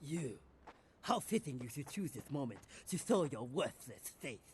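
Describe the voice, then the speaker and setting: An actor playing a proud, severe Yakuza crime boss in a video game, formal and commanding, quick to anger. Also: male voice, mid-range voice, big pitch swings, a little mellow, a little hiss or hum. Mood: scornful, furious. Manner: formal, commanding, clipped orders. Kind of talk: dramatic video game cutscene acting.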